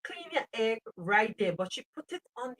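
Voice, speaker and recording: A woman's voice: on the high side, very animated, moderate pace, a bit bright. A woman with a Caribbean accent co-hosting a casual chat, talking emphatically and often checking that the listener follows. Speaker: co-host.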